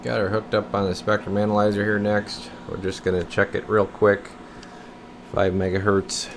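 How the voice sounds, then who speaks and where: A man with a fairly low voice hosts a casual hands-on demo. He narrates each step informally, half to himself and half to his viewers, while he works. A light steady hum of indoor air noise sits behind him. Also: moderate pace. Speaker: host of a radio repair and test video channel. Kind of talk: casual hands-on demonstration, talking while working.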